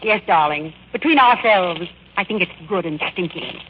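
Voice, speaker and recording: An actress playing a proper, genteel, fretful middle-aged society mother in a 1940s-style radio comedy, with a prim, expressive delivery. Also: fairly low pitch, moderate pace, close to an everyday voice. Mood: prim, confiding. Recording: tinny, noisy vintage radio recording.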